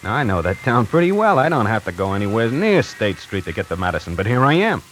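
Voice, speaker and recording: A man's voice: lowish voice, very animated, fast talker, fairly bright tone, a little hiss or hum, low-quality recording. An actor playing a wisecracking necktie salesman who narrates his own story in a 1940s radio drama, hardboiled and slangy. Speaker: narrator and lead character. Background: music.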